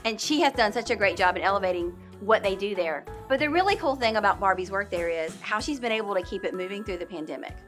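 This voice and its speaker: A woman's voice: mid-range voice, lively intonation, fast, few pauses, a bit bright, faint music behind. A woman co-hosting an awards program, introducing honorees in an enthusiastic, informal and emphatic conversational way.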